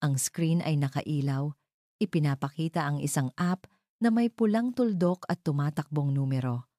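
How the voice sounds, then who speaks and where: A woman's voice: low voice, normal speed, warm tone, no room sound, clean studio sound. A woman giving dramatic scripted narration of a story.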